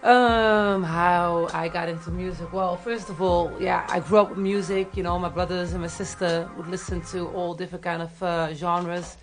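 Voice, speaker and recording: A woman with a Dutch accent and a gravelly edge, talking casually and hesitantly as an interview guest. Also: lowish voice, steady tone, normal speed, hardly any pauses, soft background music. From Netherlands, grew up in Tilburg. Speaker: music artist, interview guest. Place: radio studio.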